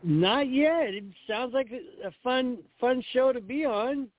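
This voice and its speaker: A man's voice: very high voice, takes it slowly, dry recording. A man co-hosting a casual talk show, chatty and easygoing as he tells stories and reads results. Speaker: co-host. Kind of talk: casual chat. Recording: dry tinny phone line.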